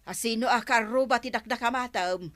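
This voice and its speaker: A woman's voice: a little high, a little fast, fairly bright tone, close to an everyday voice. An actress playing an inquisitive, gossipy village woman who questions her neighbours in an Ilocano Filipino accent, in a radio comedy drama. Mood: puzzled. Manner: questioning, reactive.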